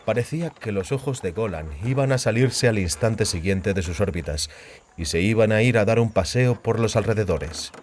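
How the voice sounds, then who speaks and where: A man's voice: on the low side, normal speed, a little mellow, slight background noise. A man narrating a fantasy novel in a scripted, literary audiobook style. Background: music.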